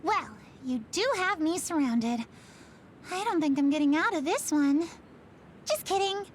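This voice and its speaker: A woman's voice: high voice, lively intonation, measured pace, a little hiss or hum. A woman playing a taunting young female villain in an anime-style video game, mock-sweet and menacing in a playful sing-song. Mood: gleeful menace.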